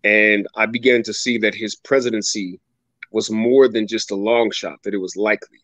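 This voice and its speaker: A man in his thirties telling a personal story as a guest on a video-call interview, animated and dramatic, leaping up in pitch when he acts out other people. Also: moderate pace, dry recording. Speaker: guest speaker. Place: home room with ceiling fan, indoors. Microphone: video call webcam. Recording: dull, dry video call audio.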